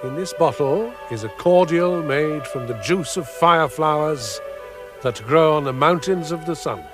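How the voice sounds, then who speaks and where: Man acting a stately, slow-spoken old Father Christmas. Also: on the high side, music in the background, close to an everyday voice. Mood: solemn kindness. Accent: British. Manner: stately, solemn, declamatory. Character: grand, benevolent, solemn old Father Christmas. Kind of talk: dramatic radio play acting.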